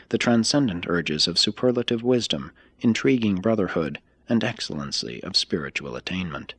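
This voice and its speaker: Male audiobook reader, husky, dry close sound, measured and emphatic scripted delivery. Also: fairly low pitch, no echo. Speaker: reader of a spiritual text. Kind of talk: scripted audiobook-style reading.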